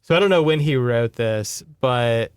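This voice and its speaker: Smooth, dry-recorded man chatting casually. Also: medium pitch, expressive, a little slow, dry recording. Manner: rambling, conversational, sardonic asides. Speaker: podcast co-host. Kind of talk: casual book recap chat.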